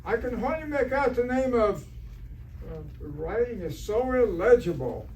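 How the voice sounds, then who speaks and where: A man's voice: very high-pitched, very animated, moderate pace, darkish tone. A man playing an earnest, naive, fussy biology teacher in an amateur stage re-creation of a radio comedy. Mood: fussy, disapproving. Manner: fussy, earnest, literal-minded. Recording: distant stage recording, faint noise.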